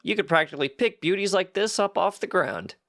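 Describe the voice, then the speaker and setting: A man's voice: high voice, brisk, fairly bright tone, faint music behind, pitched above the performer's own voice. A man voicing an eager minotaur mine worker, a loyal right-hand man with casual workman's talk, in a fantasy story. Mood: pleased, confident.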